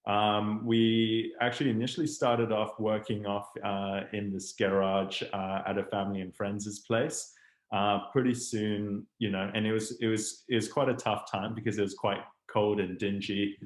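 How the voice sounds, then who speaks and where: A young man in his twenties with a mixed international English accent, telling his story as a webinar guest and often pausing on ums and restarts. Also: fairly low pitch, little pitch movement. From Hong Kong. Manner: hesitant, many ums and restarts. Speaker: guest speaker, startup founder. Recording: roomy echo.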